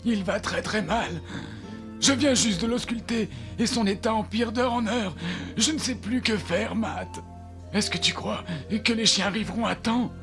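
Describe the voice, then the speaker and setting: A man playing an anxious, careworn village doctor in a French-dubbed cartoon, speaking gravely. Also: high voice, unhurried. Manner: grave, worried. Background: loud music.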